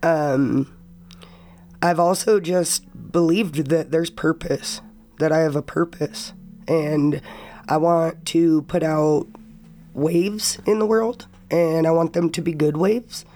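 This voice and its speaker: A hoarse young woman, a student guest on a college radio interview, speaking earnestly and reflectively while sick. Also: low voice, steady tone, slow pace, mellow, faint music behind. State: hoarse from illness. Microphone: studio microphone.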